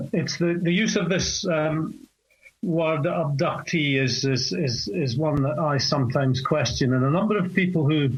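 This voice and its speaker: A Scottish man in his sixties, calling in to a radio talk show and speaking in a measured, hesitant way with many fillers. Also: a little high, breathy edge, dull audio. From north of Scotland. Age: 60s. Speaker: caller.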